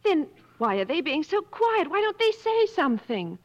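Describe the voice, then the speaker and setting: An actress playing a proud, sharp-tongued lady on a jungle expedition in a radio drama, earnest, probing and easily stung. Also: sits high, very animated, normal speed. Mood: frightened. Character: Mrs. Curtis, proud, sharp-tongued lady searching for her husband. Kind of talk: mid-century American radio drama acting. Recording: dull, muffled vintage radio recording.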